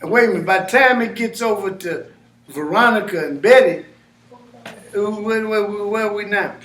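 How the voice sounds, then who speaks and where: A man giving an informal lecture to a small live audience, animated and often questioning the listeners. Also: high voice, normal speed. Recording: echoey room, mic far off.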